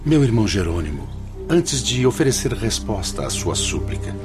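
An actor in a radio drama playing a serene, humble spirit guide, a man with a Brazilian accent speaking in a measured, formal, didactic manner. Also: lowish voice, big pitch swings. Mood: humble, solemn. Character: serene, humble elder-brother spirit guide, male, patient and firm. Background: music.

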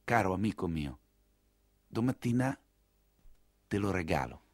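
A man's voice: deep voice, very animated, measured pace, voice pushed down. A man voicing an impetuous, insistent, idealistic young count in a theatrical monologue. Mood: hushed, reassuring.

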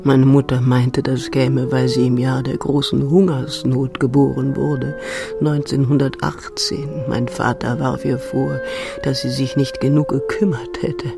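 An older actress playing a frail, wistful grandmother who remembers her past slowly and reflectively in a radio drama. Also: extremely low pitch, little pitch movement, creaky, exaggerated voice. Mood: wistful reminiscing. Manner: slow, halting, reflective storytelling. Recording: music behind the voice.

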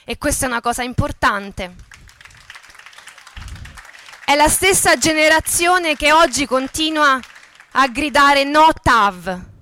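A woman's voice: high voice, expressive, measured pace, sharp tone, full character voice. A woman acting an impassioned narrator in a theatrical radio play, with emphatic, declamatory delivery. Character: impassioned female narrator of workers' revolt and hostile headlines. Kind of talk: theatrical dramatic reading.

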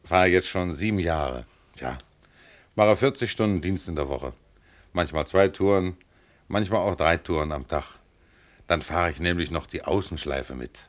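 A man's voice: sits low, moderate pace, close to an everyday voice. An actor playing a modest, contented tram driver of about forty in a German radio play, plain-spoken, chatty and proud of his work. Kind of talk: German radio play acting, conversational monologue.

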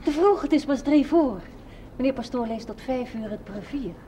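A woman's voice: sits high, lively intonation, normal speed, dark tone. A woman playing a prim, formal, curt housekeeper in a television drama. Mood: prim, correcting. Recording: noisy old television soundtrack.

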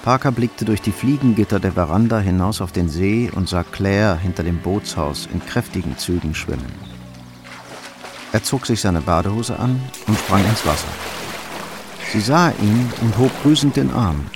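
A calm male actor as narrator of a hard-boiled crime radio play, low in pitch and measured. The storytelling is matter-of-fact, with music clearly underneath. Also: close to an everyday voice.

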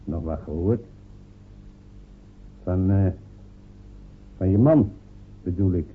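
A slow, wheedling poor villager. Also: male voice, sits low, animated, breathy edge, heavily acted. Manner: hesitant, wheedling. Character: poor male villager, father of six, fond of beer. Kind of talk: radio drama acting. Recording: humming, phone-like radio recording.

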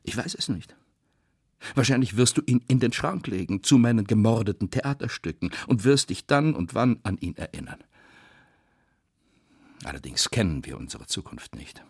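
Husky, deep-voiced brooding novelist. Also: male voice, animated, normal speed, pauses often, dry recording, clean studio sound. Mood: brooding, resigned. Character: weary bitter male novelist dictating letters and reading his novel. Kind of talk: literary radio play reading.

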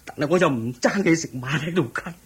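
An actor playing an earnest, anxious young monk with a husky voice and fretful manner, in Hong Kong Cantonese radio drama style. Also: male voice, a little high, fairly quick. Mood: dejected, fretful.

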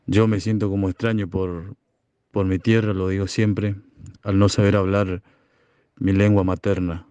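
A man from Chaco, a community broadcaster giving slow, halting, solemn testimony. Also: low-pitched, level tone, mellow, smooth, dry recording, muffled recording. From Chaco, Argentina. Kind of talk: recorded testimony.

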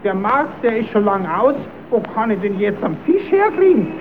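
Very high-voiced puppet clown, cheeky, scheming, exclamatory, thin tinny hissy old radio recording. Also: male voice, very animated, normal speed. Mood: scheming, agitated. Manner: exclamatory, self-addressing, stammers when flustered. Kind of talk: old German children's radio play.

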